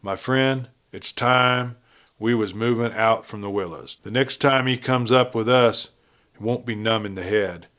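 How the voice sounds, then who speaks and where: A man's voice: on the low side, a little slow, fairly bright tone. Man acting a blunt Western outlaw boss. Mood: uneasy, menacing. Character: ruthless outlaw gang leader in the old West, male.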